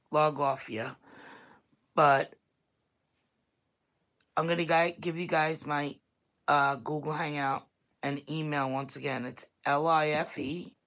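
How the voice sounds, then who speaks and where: A woman with a thick Staten Island New York accent and a deep voice, chatting bluntly and casually to viewers on a livestream, laughing often. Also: fairly bright tone. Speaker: YouTube vlogger.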